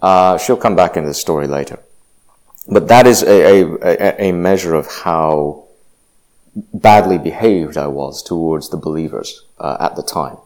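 A man's voice: deep voice, measured pace, a little mellow. A man giving a reflective personal testimony, hesitant and full of fillers and restarts. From grew up partly in Indonesia.

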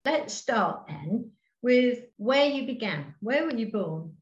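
A woman's voice: on the high side, animated, takes it slowly. A woman hosting a podcast, chatty and friendly as she guides her guest. Her voice sits in a noticeably roomy space. The recording is a little dull and lo-fi. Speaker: podcast host and mentor.

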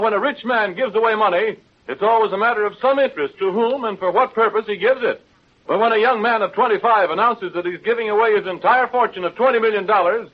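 An actor playing a grandiloquent, emphatic radio news commentator in a 1930s drama. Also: male voice, high-pitched. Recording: muffled old 1930s radio recording.

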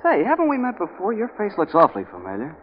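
A man's voice: sits high, wildly swooping pitch, quick pace. A man playing a courteous, modest cowboy movie star in a 1940s radio drama. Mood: courteous, friendly. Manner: courteous, mild. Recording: tinny, noisy 1940s radio recording.

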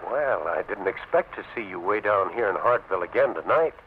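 A folksy, solicitous middle-aged father played by a male actor with a lowish, very lively voice. He sounds like old radio drama, narrow and phone-like. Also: fairly quick, natural voice. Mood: concerned, welcoming. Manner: homely, hesitant, neighborly. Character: kindly, folksy father, solicitous and plain-spoken. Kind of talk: old-time radio serial acting. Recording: thin, hissy old radio recording.

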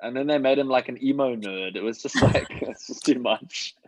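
A man chatting casually and giving his opinions in an animated way. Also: mid-range voice, moderate pace, no room sound, rough recording. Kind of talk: casual chat.

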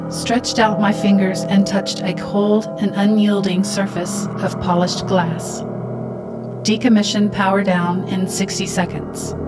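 An actress playing a brooding, detached outsider logging transmissions in a radio play, solemn and deliberate. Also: female voice, medium pitch, close to an everyday voice. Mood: detached, clipped.

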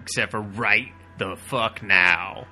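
A man's voice: fairly low pitch, steady tone, slow, pushed and bright, faint music behind. A man riffing over a comic film with crude, sarcastic wisecracks. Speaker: comedy riffer. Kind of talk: joking riff commentary over a comic film.

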